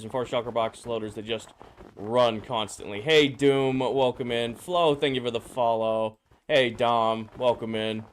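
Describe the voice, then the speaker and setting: A man streaming a game, his voice slightly hoarse and drowsy, casually greeting viewers and answering chat questions. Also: medium pitch. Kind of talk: casual live-stream chat while playing.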